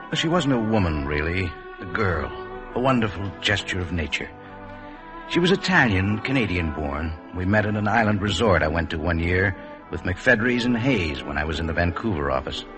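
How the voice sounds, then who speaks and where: A low-voiced, earnest and wistful man speaks with dramatic, highly varied intonation. He is polite and introspective, in 1950s radio drama style, over prominent music. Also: expressive, a little fast. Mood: wistful, confessional. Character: earnest, wistful advertising man recalling an old infatuation.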